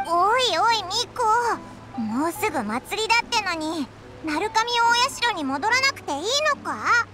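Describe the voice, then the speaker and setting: A woman voicing a small, childlike fairy companion in a Japanese anime game, blunt and excitable, with a brash boyish way of talking. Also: a little slow, full character voice. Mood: indignant. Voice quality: squeaky, childlike. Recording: loud music behind the voice.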